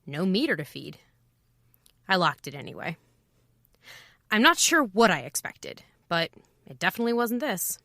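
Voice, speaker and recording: A woman's voice: medium pitch, big pitch swings, moderate pace, long pauses, fairly bright tone, dry recording, studio quality. An actress playing a wry, world-weary woman who narrates a spoken travel journal in an audio drama, reflective, often in clipped fragments. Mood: reflective, observant.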